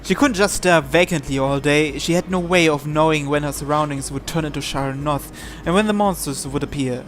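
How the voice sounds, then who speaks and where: A man's voice: a little high, a little fast. A man reading visual novel narration aloud, sometimes stumbling and repeating words, with music behind. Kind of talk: live read-aloud narration in English.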